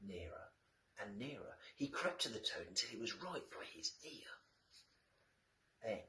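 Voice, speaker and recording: A male teacher reading a children's story aloud in a dramatic, theatrical way with drawn-out words. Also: lowish voice, big pitch swings, noisy background. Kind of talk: animated storybook narration. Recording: roomy echo, distant from the mic.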